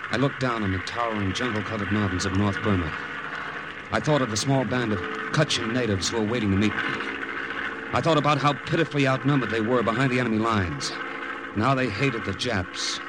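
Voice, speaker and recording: An actor playing a tense American wartime agent recounting a jungle mission in dramatic, clipped 1950s radio style. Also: male voice, lowish voice, brisk, very noisy, natural voice. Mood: grim, tense. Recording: old radio recording with loud music.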